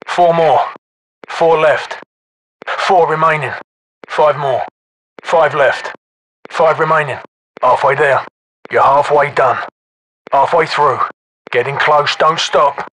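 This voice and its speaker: An actor playing a tough, commanding British special forces soldier in a video game, giving short, curt military callouts. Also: male voice, on the high side, fairly even intonation, takes it slowly, long pauses, a bit bright, a little hiss or hum, rough recording. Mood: steady, encouraging.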